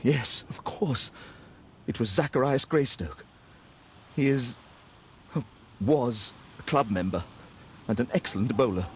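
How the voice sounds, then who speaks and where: An actor playing an earnest, upper-class Victorian club gentleman in a video game, British, hesitant and formal. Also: medium pitch, unhurried, pauses often, a little hiss or hum, natural voice. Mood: formal, guarded. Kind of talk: scripted video game acting.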